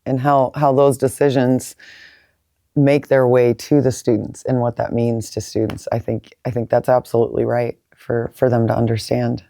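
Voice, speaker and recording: Deep-voiced woman interviewing students. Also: level tone, moderate pace, no room sound. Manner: warm, encouraging, repeats words as she frames questions. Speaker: university president and interviewer. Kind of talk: campus interview.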